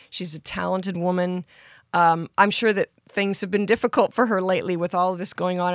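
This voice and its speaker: A woman from Canada, a country singer guest, with a deep voice, talking earnestly in a casual interview. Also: no echo, studio quality.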